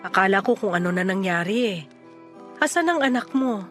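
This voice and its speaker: A woman playing a worried, caring young friend in a Filipino radio drama, earnest and gently insistent, with a Filipino accent. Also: medium pitch, animated, fairly quick, lightly acted. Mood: worried, probing. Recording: music behind the voice.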